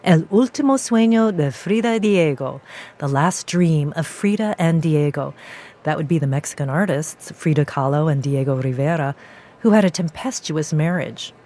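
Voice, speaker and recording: A woman hosting a radio broadcast, poised and measured as she interviews and presents. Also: sits low, lively intonation, warm tone.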